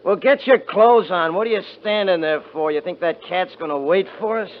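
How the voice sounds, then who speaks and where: A man's voice: high-pitched, normal speed, lightly acted. A man playing a tense young rancher who talks to himself in the snow and snaps at his brothers, in 1950s radio drama style. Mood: gruff. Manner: muttering to himself, gruff and scornful with his brothers. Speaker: lead actor in a radio play. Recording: hissy muffled 1950s radio broadcast.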